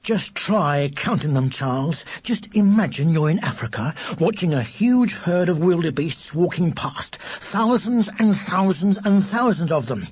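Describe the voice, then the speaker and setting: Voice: mid-range voice, very animated, takes it slowly, darkish tone, slightly rough, exaggerated voice. A narrator voicing a bossy, tired older brother, a boy who explains things with impatient effort in a children's story. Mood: strained patience. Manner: sighing, impatient, laboured explaining.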